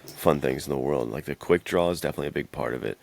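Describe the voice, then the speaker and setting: A man's voice: rumbling low, fast talker, creaky, a little mellow, a little hiss or hum. A man co-hosting a podcast, chatting casually in laid-back, slangy fan talk. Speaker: podcast co-host. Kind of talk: casual chat about films and games.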